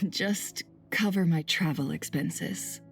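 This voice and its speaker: A worldly, shrewd game-character fixer with a lowish voice. She is dry, composed and businesslike, with faint music underneath. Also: takes it slowly. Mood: dry, wry. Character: cool, shrewd, worldly adult female fixer. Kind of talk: video game voice acting.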